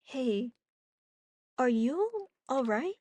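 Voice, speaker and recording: A woman playing a gentle, effeminate young actor in a radio play, playful and mock-deferential. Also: sits high, sing-song, extremely slow, no room sound, heavily acted. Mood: concerned. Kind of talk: naturalistic radio play acting.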